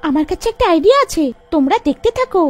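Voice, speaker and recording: Very high-pitched little girl. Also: expressive, fast, soft background music. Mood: brave, reassuring. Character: brave, clever little girl. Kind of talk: children's cartoon voice acting.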